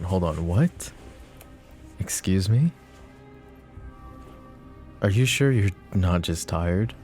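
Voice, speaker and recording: A man's voice: deep voice, dark tone. A man playing a possessive, protective mafia boss in an intimate, sardonic boyfriend ASMR roleplay. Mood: baffled. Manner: intimate, brooding, sighing. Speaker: voice actor.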